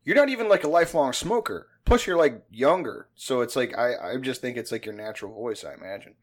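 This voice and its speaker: A man's voice: mid-range voice, lively intonation, fairly quick. Rambling profane man in his fifties. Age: 50s. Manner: rambling, profane, self-deprecating. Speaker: podcast host. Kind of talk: casual chat.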